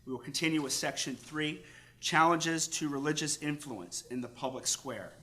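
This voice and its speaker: Bright, forceful American man lecturing. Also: mid-range voice. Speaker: priest reading a paper at a conference. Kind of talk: formal read-aloud lecture.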